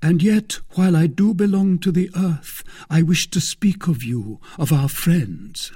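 A man voicing a melodramatic, fervent young gentleman in a storybook, declaiming his lines theatrically. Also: on the high side, a little slow, slightly rough, voice pushed up. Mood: mournful. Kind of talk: acted dialogue in a storybook reading.

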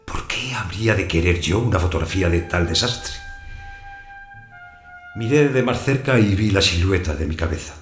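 An actor playing a wary householder who narrates his own story in a scripted audio drama, in a measured, observant way. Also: low voice, lively intonation. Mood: brooding. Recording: echoey room sound, music behind.

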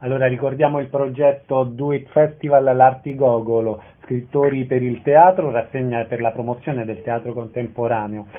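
A man's voice: medium pitch, fairly even intonation, moderate pace, hardly any pauses. A man hosting a radio interview and announcing events. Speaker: radio host. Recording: narrow band-limited radio sound.

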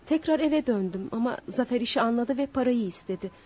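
A high, husky-voiced woman on trial, defiant and full of remorse. She speaks in dramatic, emphatic radio theatre style on a muffled old recording. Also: animated, brisk, a little mellow. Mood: remorseful, confessing. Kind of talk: Turkish radio theatre acting.

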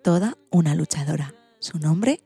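Casting: female children's narrator, soft mellow timbre with a breathy husk, slightly low. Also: animated, normal speed, faint music behind. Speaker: storyteller and presenter.

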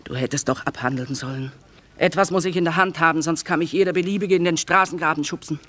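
Tough middle-aged trader mom in a radio drama, blunt haggler, earthy. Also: female voice, deep voice, quick pace, a bit bright. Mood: shaken, calculating. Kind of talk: German radio play acting.

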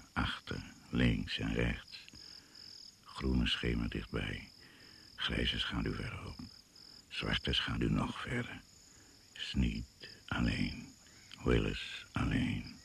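A man narrating a radio drama gravely and ominously, in deliberate, incantatory short phrases, on an old recording. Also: rumbling low, fairly even intonation, fairly bright tone, a little hiss or hum, heavily acted. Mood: ominous, measured. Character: grave, ominous male narrator of a jungle tale.

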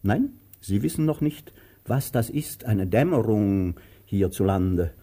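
Theatrical older tyrant, mellow tone, swooping intonation, grand and self-pitying, radio drama. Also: male voice, fairly low pitch, animated, slow, exaggerated voice. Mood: grandiloquent. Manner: pompous, theatrical declaiming, swinging between grandeur and whining. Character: pompous, volatile, cruel master, about sixty, self-pitying and theatrical. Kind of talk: radio drama stage acting.

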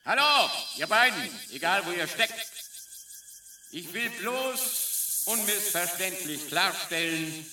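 A man playing a menacing, officious alien ship commander in a radio drama, slow and domineering over a loudspeaker. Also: high-pitched, expressive, exaggerated voice. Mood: stern, threatening. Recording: echoing, distant loudspeaker sound.

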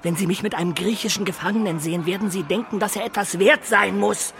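A man's voice: high-pitched, fairly even intonation, quick pace, a bit bright, a little hiss or hum. A man playing a bold, breezy crusader adventurer from northern Italy in a German radio play. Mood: urgent, practical.

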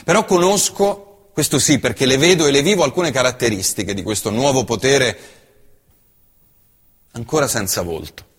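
A man performing an impassioned theatrical monologue on stage, swinging from hushed passages to forceful outbursts. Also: mid-range voice, animated, normal speed, slightly rough, in a large hall. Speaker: stage actor.

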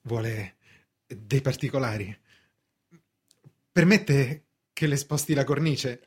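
Timid, flustered clerk, husky-voiced. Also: male voice, mid-range voice, slow pace. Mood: hesitant, uneasy. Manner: hesitant, stammering, over-apologetic. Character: timid, flustered male clerk, apologetic, bursting into bravado. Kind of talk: theatrical radio drama acting.

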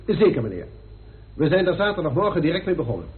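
A man's voice: on the high side, big pitch swings, fast talker. A man playing a deferential, matter-of-fact police inspector reporting his findings in a radio drama. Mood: deferential, defensive. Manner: respectful, factual, clipped reporting. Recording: tinny band-limited old radio recording.

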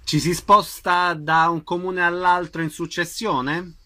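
A man with a fairly high-pitched voice hosts a radio programme. He announces events and puts questions to his guests in an interview format. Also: little pitch movement, measured pace, sharp tone. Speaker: radio host.

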